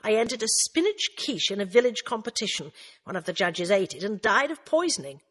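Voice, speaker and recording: Woman playing a brisk, prickly middle-aged woman. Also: medium pitch, sing-song, fairly bright tone, husky. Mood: defensive. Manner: brisk, curt.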